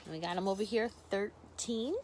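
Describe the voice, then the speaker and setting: A woman's voice: medium pitch, moderate pace, a little mellow, slight background noise. A woman teacher speaking expressively to young children in a story read-aloud with casual asides. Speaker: first grade teacher.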